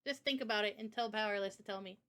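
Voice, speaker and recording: A woman's voice: medium pitch, fast talker, a bit bright. A woman hosting a casual livestream Q&A as a game developer, talking informally and directly to viewers.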